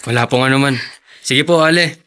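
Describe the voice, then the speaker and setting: A man's voice: medium pitch, brisk, sharp tone, natural voice. An actor playing a poor, earnest teenage boy in an audio drama, sincere and plain-spoken, devoted to his love. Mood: polite. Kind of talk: Tagalog audio drama acting.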